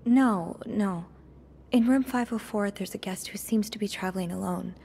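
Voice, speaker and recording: An actress playing a curious, evasive young hotel maid in a mystery game, speaking in an earnest, hesitant way. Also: female voice, mid-range voice, normal speed, creaky, warm tone, a little hiss or hum, lightly acted. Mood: earnest, insistent. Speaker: video game character. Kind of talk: narrative mystery game voice acting.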